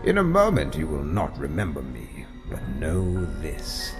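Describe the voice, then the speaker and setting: Deep, slow, theatrical immortal villain. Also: male voice, huge pitch swings, big-room sound, over music, exaggerated voice. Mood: grand, foreboding. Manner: slow, grandiose, riddling speech. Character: menacing, theatrical male Rat King, an ancient scheming immortal. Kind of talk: dramatic comic-book audio drama acting.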